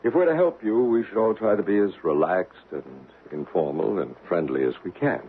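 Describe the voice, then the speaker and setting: An actor playing a calm, courteous paranormal investigator in a radio drama, speaking in a measured, reassuring, formal manner. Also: male voice, low voice, husky, narrow, tinny audio, exaggerated voice. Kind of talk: old-time radio drama acting. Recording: band-limited, noisy old radio recording.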